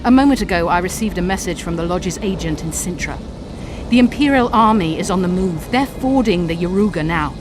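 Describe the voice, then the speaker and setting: Video game role: scheming sorceress played by a woman, imperious and contemptuous, theatrical menace, music clearly underneath. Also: on the low side, animated, fairly quick, natural voice. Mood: bitter, insistent. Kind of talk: dramatic video game acting.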